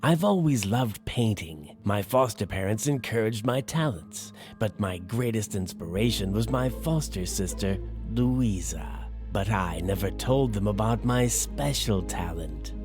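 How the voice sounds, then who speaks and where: A sinister male villain from a mystery game, speaks very slowly in a lowish voice. He is theatrical and menacingly polite, nursing revenge, with music clearly playing behind him. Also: expressive. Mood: brooding. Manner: slow, theatrical, taunting delivery. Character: sinister, vengeful man, a gifted painter, menacingly polite. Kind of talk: video game villain monologue.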